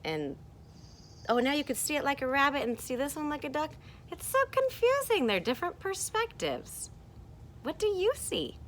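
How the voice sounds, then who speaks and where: A woman teaching kindergartners in a read-aloud lesson, speaking expressively and pausing to ask questions. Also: high-pitched, a little hiss or hum. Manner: expressive, asks questions and pauses for answers.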